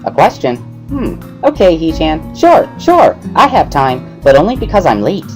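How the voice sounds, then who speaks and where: A man's voice: on the high side, wildly swooping pitch, moderate pace, darkish tone, soft background music, voice pushed up. A man voicing a bubbly, playful, scatterbrained teenage schoolgirl in a dramatic visual novel reading, exclaiming and drawing words out in a sing-song way.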